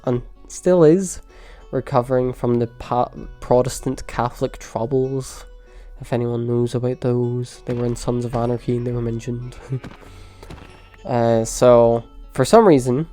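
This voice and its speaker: Dry-humored young Northern Irish man. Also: warm tone. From Poland. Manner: dry, self-deprecating, low-key delivery. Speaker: vlogger. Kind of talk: casual storytelling monologue.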